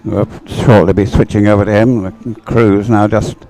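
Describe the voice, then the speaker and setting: A man giving live sports commentary in an unhurried, conversational way. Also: fairly low pitch, fairly even intonation, warm tone, rough recording. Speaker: race commentator.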